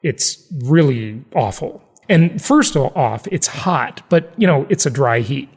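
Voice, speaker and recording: A man's voice: medium pitch, lively intonation, unhurried, a little mellow, breathy edge, long reverb tail. An American man hosting a podcast in a conversational, salesy way, repeating phrases for emphasis. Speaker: podcast host, real estate investing.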